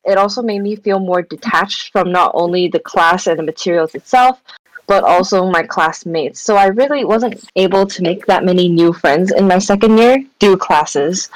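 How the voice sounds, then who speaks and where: A woman's voice: medium pitch, no echo, rough recording. A young woman from Malaysia, a student guest on a casual podcast, answering thoughtfully with fillers like um and like. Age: young adult. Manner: reflective, with fillers like um and like. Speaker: guest, student society president.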